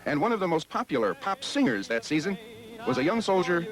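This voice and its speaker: A man's voice: on the high side, very animated, fairly quick, music in the background, rough recording. An American man reading scripted narration for a broadcast history documentary.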